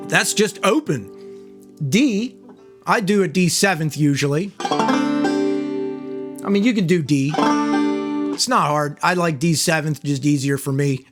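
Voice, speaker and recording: A hoarse man giving a relaxed, chatty banjo lesson, with banjo playing under his voice. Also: high voice. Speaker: banjo teacher. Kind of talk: casual video tutorial. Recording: loud banjo over the voice.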